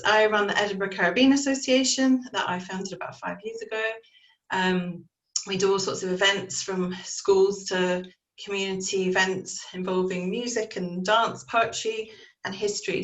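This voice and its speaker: Reflective English woman, echoey room. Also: mid-range voice. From England. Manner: reflective, measured, candid. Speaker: panelist, community organiser. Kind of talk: panel discussion.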